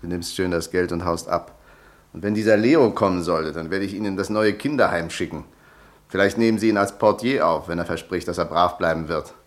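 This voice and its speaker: An actor playing a gruff, wealthy friend who is blunt but generous, speaking briskly. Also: male voice, sits low, lightly acted.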